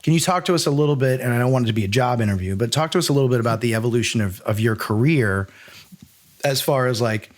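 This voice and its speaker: A man's voice: mid-range voice, quick pace. A middle-aged American man hosting a podcast, chatting informally and thinking aloud as he frames things. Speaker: podcast host. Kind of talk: casual conversational interviewing.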